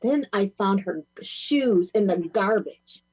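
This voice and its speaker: Woman as an animated, exasperated goth mother. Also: mid-range voice, slow, husky, rough recording. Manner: complaining, sarcastic. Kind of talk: amateur audio play acting.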